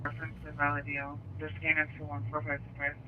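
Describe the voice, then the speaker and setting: A male police dispatcher with a slightly high voice repeats codes in short, procedural replies. He comes through a very narrow, tinny police radio channel. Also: monotone, a little fast, noisy background. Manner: clipped, procedural.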